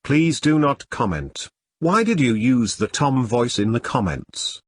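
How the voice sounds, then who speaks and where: A man narrates a script in a stiff, literal way. His mid-pitched voice moves up and down a lot, and the sound is very dry with no room around it. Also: very animated, measured pace, breathy edge, dry recording. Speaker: video narrator.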